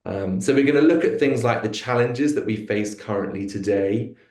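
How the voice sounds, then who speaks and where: Low-voiced man hosting a webinar, echoey. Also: moderate pace, a little mellow. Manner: hesitant, many fillers and restarts. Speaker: webinar host. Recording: echoey room.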